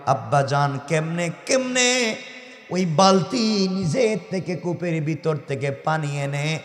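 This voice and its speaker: A Bangladeshi man delivers a religious sermon in a high, declamatory voice. He tells the story slowly and dramatically, stretching phrase ends into chant. The sound rings with long, echoey PA reverb. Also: lively intonation, soft background music. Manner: declamatory, sing-song chanting at phrase ends, calls on listeners to respond. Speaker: Islamic preacher.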